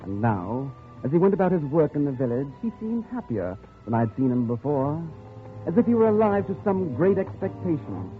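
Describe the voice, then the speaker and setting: An actor playing an educated, polite and weary traveller stranded in the jungle, in a radio drama. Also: male voice, fairly high pitch, sing-song, moderate pace, faint music behind. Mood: reflective narrating. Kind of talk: 1950s radio drama acting and first-person narration. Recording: thin, lo-fi old radio recording.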